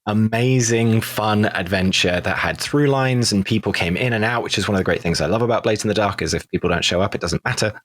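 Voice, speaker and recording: British man, low register, relaxed podcast chat among castmates, very dry recording. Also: brisk, few pauses, dry recording. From Britain. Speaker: podcast cast member, actor and producer. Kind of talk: casual podcast round-table chat.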